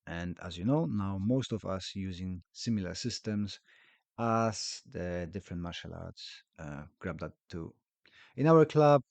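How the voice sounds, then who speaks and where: A man with a low, croaky voice talks through his solo podcast from home, his delivery relaxed and a little rambling, with plenty of ums. The sound is close and dry, with no room echo. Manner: relaxed, rambling explanation with frequent fillers. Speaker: podcast host and karate instructor. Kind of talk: casual solo podcast talk.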